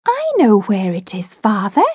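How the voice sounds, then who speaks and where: An eager, dutiful little girl from a storybook, played by a woman reader. The voice is sweet and girlish, lifted high and very lively in its pitch. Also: measured pace, no echo, clean studio sound, exaggerated voice. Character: eager, helpful young daughter, sweet and dutiful. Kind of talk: dramatised storybook reading.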